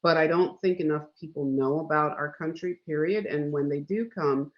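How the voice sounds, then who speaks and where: A man from Guyana with an American accent, speaking earnestly on a video call panel and filling his pauses. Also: fairly high pitch. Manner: earnest, measured, with many fillers. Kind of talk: panel discussion. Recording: muffled video call audio.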